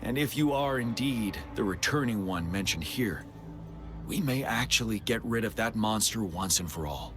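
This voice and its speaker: A man playing a composed, dutiful army general in a game, speaking in a formal, measured, solemn way. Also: lowish voice, animated, over music, close to an everyday voice. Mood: grave, solemn. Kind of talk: English game cutscene voice acting.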